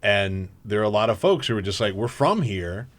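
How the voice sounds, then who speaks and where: A man hosting a talk radio show in a casual, energetic way, joking and laughing often as he interviews a guest. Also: fairly low pitch, normal speed. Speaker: fill-in radio show host.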